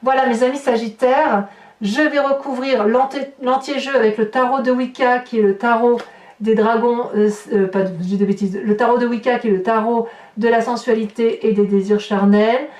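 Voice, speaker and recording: A woman with a fairly high voice talks viewers through an improvised tarot reading. She addresses them directly and expressively. The sound is very roomy, with the microphone some way off. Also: steady tone, normal speed, darkish tone. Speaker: tarot reader hosting a horoscope video. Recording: roomy echo, distant microphone.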